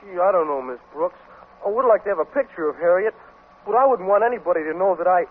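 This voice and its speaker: A man's voice: high-pitched, lively intonation. A man playing a dim, bashful teenage athlete, hesitant and gawky, in a 1950s radio sitcom. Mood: bashful, worried. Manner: hesitant, gawky, slow-witted. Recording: tinny, noisy vintage radio recording.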